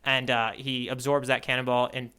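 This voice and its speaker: A man co-hosting a podcast, chatting casually and playfully as he recaps a story with animated, mock-earnest delivery. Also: mid-range voice, moderate pace, clear, resonant tone. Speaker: podcast co-host playing the tourist. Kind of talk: casual bantering recap of a manga chapter.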